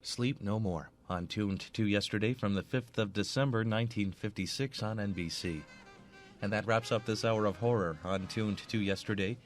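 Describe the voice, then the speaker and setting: A man with a fairly low voice presents a radio show from a studio. His delivery is scripted, and music plays faintly underneath. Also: moderate pace. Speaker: radio program host.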